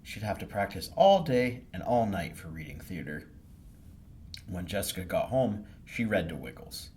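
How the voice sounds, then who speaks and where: A low-voiced man narrates a children's story for storytime. His intonation moves a great deal, expressive and animated. There is faint noise behind the voice. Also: moderate pace. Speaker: library storytime reader.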